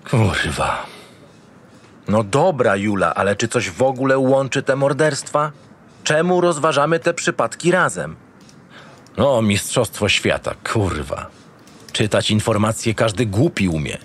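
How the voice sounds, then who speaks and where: An actor playing a cynical, irritable, foul-mouthed homicide detective in an audio drama, gruff and sardonic. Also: male voice, medium pitch, animated, unhurried. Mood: irritated, scornful. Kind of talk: first-person audio drama narration and dialogue.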